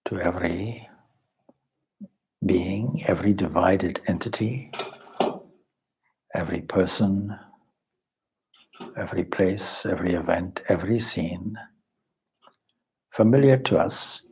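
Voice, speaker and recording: A man leading a guided meditation as a spiritual teacher, calm and measured, with deliberate pauses and a husky, breathy voice. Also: deep voice, slight background noise, low-quality recording.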